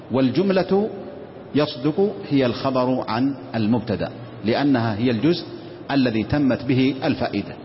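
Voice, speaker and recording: A man teaching Arabic grammar. He goes slowly through parsing, repeats his examples and puts rhetorical questions to his listeners. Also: medium pitch. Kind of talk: explanatory grammar lesson. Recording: dull lo-fi recording in a reverberant hall with faint noise.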